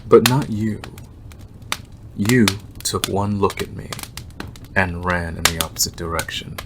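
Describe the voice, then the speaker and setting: An obsessive werewolf stranger played by a man speaks very low and slowly, teasing and menacing his prey in an ASMR roleplay. He leaves long, deliberate pauses, and clear background noise with clicks sits behind the voice. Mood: menacing reminiscence.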